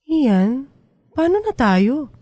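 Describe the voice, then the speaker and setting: A woman's voice: high voice, sing-song, extremely slow, warm tone, dry recording, exaggerated voice. A woman acting an earnest, pleading married teacher in a Filipino radio drama, persuasive and clingy. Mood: anxious.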